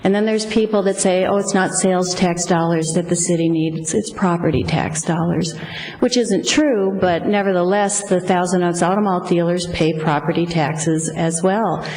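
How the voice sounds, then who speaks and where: A woman's voice: on the low side, fairly even intonation, normal speed, a little mellow. A middle-aged woman giving public comment at a council meeting, earnest, plainspoken and effusively grateful, at a podium microphone. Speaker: public speaker for local car dealers. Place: council chamber at a speaker podium. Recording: echoey hall with faint hiss.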